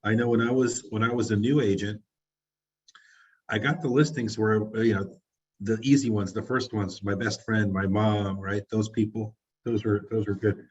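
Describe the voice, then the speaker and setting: A man with a fairly low, husky voice leads a group training for real estate agents. He talks in an encouraging, loose way, restarting his sentences. The recording sounds dull and lo-fi. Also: steady tone, moderate pace. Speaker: real estate team leader running a training. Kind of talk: motivational group coaching.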